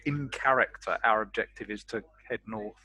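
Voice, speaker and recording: A man's voice: on the low side, normal speed, sharp tone, breathy edge, dry recording, poor audio. A man playing a tabletop role-playing game, talking casually with the group as he works out the next move.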